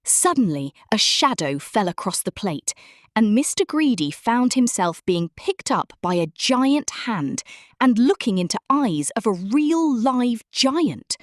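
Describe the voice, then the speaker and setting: A woman reading a children's story aloud as narrator, expressively and with deliberate emphasis on descriptive words. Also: a little high, sing-song, dry recording, clean studio sound.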